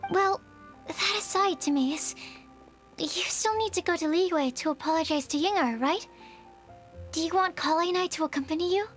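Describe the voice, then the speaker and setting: A woman voicing a gentle, timid, kindhearted young woman in an anime-style game. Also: very high-pitched, moderate pace, soft background music. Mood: gentle, curious.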